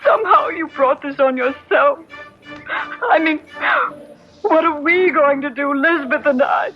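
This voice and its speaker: A woman's voice: high-pitched, a little slow. An anxious, indignant middle-aged wife in a vintage radio play. Her delivery is prim and agitated. The recording is dull, with music behind her. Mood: indignant fear. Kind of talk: old-time radio drama acting.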